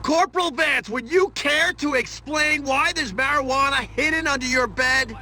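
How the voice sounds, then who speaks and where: An actor playing an outraged, domineering army sergeant barking scoldings in a video game. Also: male voice, very high voice, moderate pace, pushed and bright, exaggerated voice. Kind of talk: video game cutscene acting.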